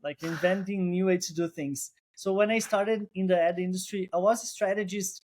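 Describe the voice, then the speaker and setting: A man's voice: high voice, fairly even intonation, moderate pace, darkish tone. Brazilian-accented man, thoughtful guest. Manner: thoughtful, restarts phrases, non-native phrasing. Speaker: guest, co-founder. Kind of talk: casual interview.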